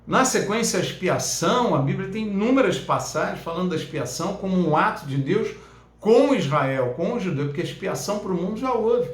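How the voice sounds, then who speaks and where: A man's voice: high voice, animated, brisk, roomy sound. A man teaching a Bible lesson as a pastor, talking conversationally with frequent fillers and tag questions.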